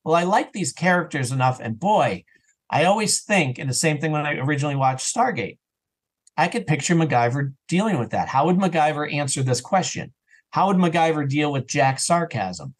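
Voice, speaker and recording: A man chatting casually as an interview guest, animated and often voicing his own thoughts as quoted self-talk. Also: fairly high pitch, a little fast. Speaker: podcast guest, audio drama creator and voice actor.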